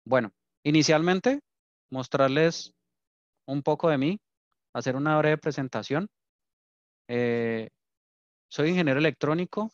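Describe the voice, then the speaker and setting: A man's voice: medium pitch, dry recording. A man with a Colombian accent from Cali presenting a technical webinar as an engineer and trainer, explaining and often checking in with short tag questions. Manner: explanatory, frequent "¿sí?" check-ins. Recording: dull lo-fi online meeting audio.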